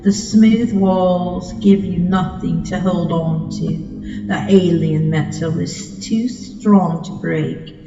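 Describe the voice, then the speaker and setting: A woman's voice: lowish voice, steady tone, slow, creaky, over music. A woman performing an ASMR hypnosis monologue, coaxing the listener with soothing, repetitive suggestions. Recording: muffled, echoey with long reverb.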